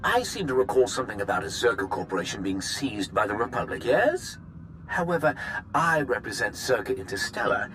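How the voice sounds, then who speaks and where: An actor playing a smug, slippery male corporate executive who talks in a suave, sarcastic, drawn-out way. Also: mid-range voice, very animated, noisy background, lightly acted. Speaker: corporate representative. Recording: game cutscene with music behind.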